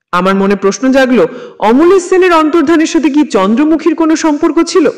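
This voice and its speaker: Very high-pitched man narrating horror. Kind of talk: scripted first-person suspense narration.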